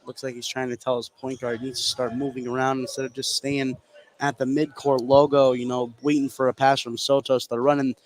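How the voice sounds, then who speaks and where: A man's voice: medium pitch, flat delivery, a little fast, poor audio. Man giving conversational basketball color commentary. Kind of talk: live sports analysis, conversational.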